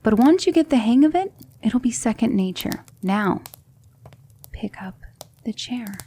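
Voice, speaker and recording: A woman playing a patient, composed demon magic teacher in a soft-spoken ASMR roleplay, measured and reassuring. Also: a little high, expressive, a little hiss or hum. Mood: coaxing, instructive. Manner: measured, instructive, reassuring, with hushed breathy dips.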